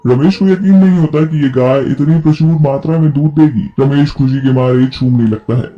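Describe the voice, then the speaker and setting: Dark-toned male story narrator. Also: on the high side, moderate pace, lo-fi sound.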